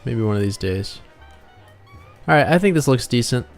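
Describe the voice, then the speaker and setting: A man streaming as a game developer and artist, chatting casually and muttering in a low-key way as he works. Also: animated, normal speed, faint music behind. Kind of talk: casual livestream chat while drawing.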